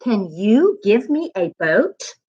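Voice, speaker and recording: A woman's voice: mid-range voice, big pitch swings, slow, mellow, husky, lo-fi sound, exaggerated voice. A woman voicing a wanting, self-absorbed boy from a storybook who grows weary, with a plaintive manner.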